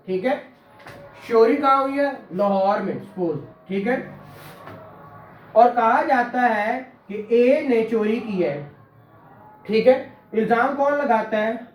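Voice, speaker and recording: A man's voice: extremely high pitch, takes it slowly, pauses often, faint music behind. A man with a Pakistani Punjabi accent lecturing on law to a class, repeating key phrases and prompting students with questions. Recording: echoey, reverberant room.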